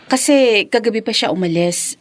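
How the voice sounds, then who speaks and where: A woman's voice: mid-range voice, lively intonation, normal speed, natural voice. A Filipino woman acting as a tender, anxious young mother in a radio drama, cooing and meek. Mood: apologetic, worried. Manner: soft cooing, meek and worried.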